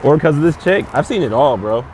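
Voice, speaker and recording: Male comic host, bouncy expressive delivery, crude slangy banter, outdoor street take with light traffic. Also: fairly high pitch, brisk, rough recording. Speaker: comedian host. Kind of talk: casual street chat.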